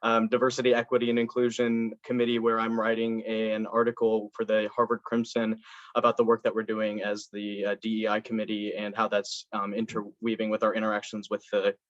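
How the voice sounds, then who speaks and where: A man's voice: on the low side, completely flat delivery, fairly quick, no echo. A young man from Indiana speaking earnestly as a candidate in a student election, with many fillers and restarts. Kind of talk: campaign speech and answers to questions. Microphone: video call. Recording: dull lo-fi video call audio, dry.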